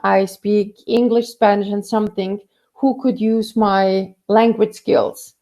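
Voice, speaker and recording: A woman's voice: mid-range voice, steady tone, slow, warm tone. A woman career coach answering viewer questions in a conversational way, with many fillers and restarts. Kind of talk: live Q&A career advice.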